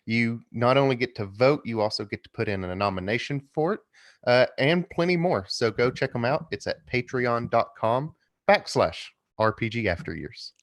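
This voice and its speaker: A man's voice: medium pitch, animated, moderate pace. A man chatting casually as a guest co-host on a podcast. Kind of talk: casual chat.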